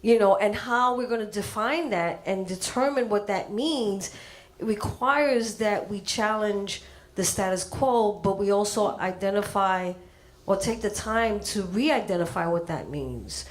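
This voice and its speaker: A woman's voice: mid-range voice, measured pace. A woman telling a personal story to a gallery audience, acting out what people said. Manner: storytelling, animated when acting out quotes. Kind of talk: speaking at an artist reception.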